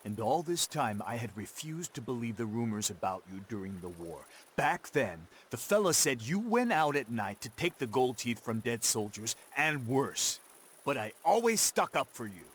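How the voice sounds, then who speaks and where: A man's voice: mid-range voice, big pitch swings, normal speed, slight background noise, lightly acted. An actor playing a principled, defiant young American frontier man in a game cutscene, firm and earnest. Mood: stern, disappointed. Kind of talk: video game cutscene acting.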